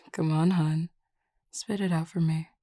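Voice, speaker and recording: A flirty, self-assured werewolf woman played by a voice actress in an audio roleplay. Her voice is low and mellow, recorded dry and close, and she teases with casual, unhurried confidence. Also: steady tone, no room sound. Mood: flirty, teasing. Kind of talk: scripted audio roleplay acting.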